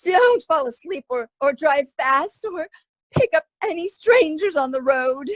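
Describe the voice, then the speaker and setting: A woman's voice: high-pitched, expressive, a little slow, no echo, low-quality recording. A woman playing a fretful, loving mother of a grown son in an old-time radio drama, tender and pleading. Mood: fond worry.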